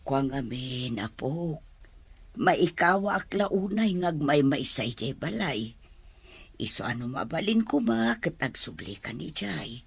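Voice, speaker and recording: A woman playing an elderly, coaxing, stubborn grandmother in a radio drama, with drawn-out, emphatic delivery. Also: low voice, very animated, creaky, husky, dry recording, heavily acted. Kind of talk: Ilocano radio drama acting.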